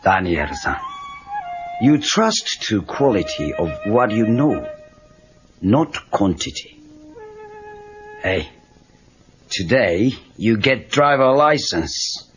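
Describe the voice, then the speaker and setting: A man playing a gentle, wise old karate master with a Japanese accent and terse, halting broken English. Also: fairly low pitch, big pitch swings. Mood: calm, wise. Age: older. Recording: muffled cassette tape with music.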